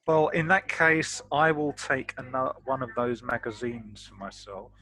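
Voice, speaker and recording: A man's voice: medium pitch, a little slow, sharp tone, low-quality recording. A man chatting casually as a tabletop game player, questioning and a little hesitant.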